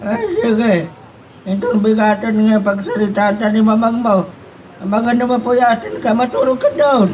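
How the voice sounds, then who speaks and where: A caring father who fusses over his child in a radio drama, played by a man. His voice is high for a man. He is slightly off the microphone. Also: animated, normal speed, a little mellow, distant mic, slight background noise, rough recording. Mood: fussing. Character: caring, fussing rural father.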